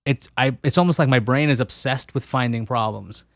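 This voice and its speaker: Man, podcast host, mid pitch, dry close sound, musing, restarts often. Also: a little fast, vocal fry, no room sound. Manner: musing, thinks aloud with frequent restarts. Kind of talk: casual interview conversation.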